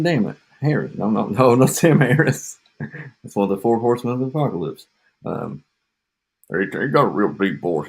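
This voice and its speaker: Husky man rambling jokes. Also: on the low side. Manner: joking, meandering, trails off. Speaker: podcast co-host. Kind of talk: rambling comedic chat. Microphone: home mic with echo.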